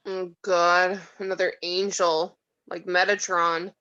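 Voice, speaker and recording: A woman's voice: fairly low pitch, little pitch movement, takes it slowly, bright, forceful tone. A woman playing a skeptical, exasperated mortal in a script table read, with a sarcastic manner. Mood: bewildered. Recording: dull lo-fi home mic.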